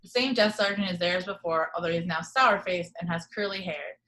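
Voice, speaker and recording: A woman's voice: fairly low pitch, a little fast, a bit bright, slight background noise. A woman reading screenplay action descriptions as the narrator of an amateur table read.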